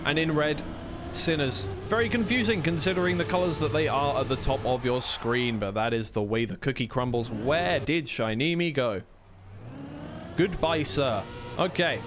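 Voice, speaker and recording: A man's voice: a little high, moderate pace, background noise. A man commentating live on an esports racing match, casually rambling and correcting himself between bursts of play-by-play. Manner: rambling, self-correcting asides.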